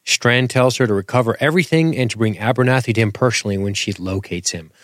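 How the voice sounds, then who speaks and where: A man's voice: mid-range voice, fast. A man co-hosting a casual TV episode recap, keeping the talk on track with dry, teasing humor. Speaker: podcast co-host.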